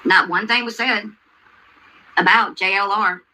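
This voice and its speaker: A woman's voice: mid-range voice, fairly even intonation, measured pace, pushed and bright, a little hiss or hum, low-quality recording. A woman hosting a livestream, commenting on a video and answering chat in an insistent way, repeating herself and asking questions. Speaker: livestream host.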